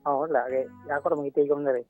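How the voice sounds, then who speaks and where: A man with a Filipino accent, a native Cebuano speaker, chatting casually and haltingly as a caller, searching for words. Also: on the high side, fairly even intonation. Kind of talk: casual chat. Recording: dull lo-fi radio call audio. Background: faint background music.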